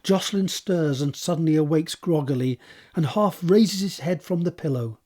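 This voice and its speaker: A man's voice: on the high side, normal speed, darkish tone. A man reading scripted scene narration for an audio drama.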